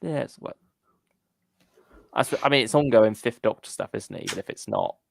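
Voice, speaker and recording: A man with a very creaky, gravelly voice on the low side, co-hosting a relaxed fan chat show. He speaks casually and a little tentatively, as if working out his opinion. The sound is dry and close. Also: fairly quick, no room sound. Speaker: co-host of a fan discussion show. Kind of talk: casual chat, reading out viewer comments.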